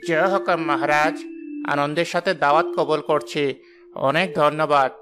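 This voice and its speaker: A man playing a bright-voiced, humble royal minister. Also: on the high side, steady tone, normal speed, a little hiss or hum, close to an everyday voice. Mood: grateful, respectful. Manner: formal and deferential. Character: loyal, humble, anguished royal minister and father. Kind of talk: animated fairy-tale dialogue.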